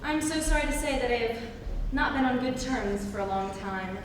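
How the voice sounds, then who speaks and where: A young woman performs a self-assured young country girl of Victorian comedy, demure and formal in a fairly high voice. She is heard far from the microphone in a hall with long reverb. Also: moderate pace, close to an everyday voice. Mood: coyly confiding. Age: young adult. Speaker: student reader. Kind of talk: dramatic reading of a stage comedy. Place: large reverberant room. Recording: echoey hall from far off, noisy.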